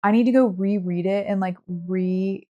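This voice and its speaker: A woman's voice: mid-range voice, steady tone, moderate pace, lo-fi sound. Woman chatting casually about books. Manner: conversational, heavy use of "like". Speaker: podcast co-host. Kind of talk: casual book chat.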